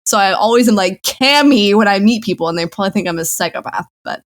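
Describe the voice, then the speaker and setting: A woman co-hosting a podcast, chatting casually in a giggly, bubbly way and speeding up when she feels rushed. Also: mid-range voice, expressive. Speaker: podcast co-host.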